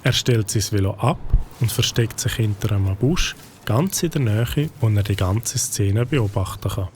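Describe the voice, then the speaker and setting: A man narrating a children's audio drama in a calm storytelling manner. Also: lowish voice, normal speed, a little hiss or hum.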